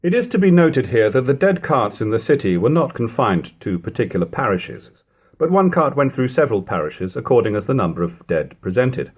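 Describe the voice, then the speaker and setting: A man reading an old historical narrative aloud in a measured, expressive way, as an audiobook reader. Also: lowish voice, breathy edge. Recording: lo-fi amateur home recording.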